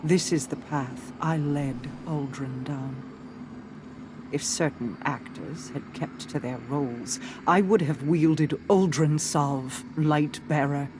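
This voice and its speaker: A woman voicing a calm, commanding alien queen in a video game cutscene, telling a solemn story in a measured way. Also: sits low, background noise. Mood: wistful. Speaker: queen character. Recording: game audio with loud score behind.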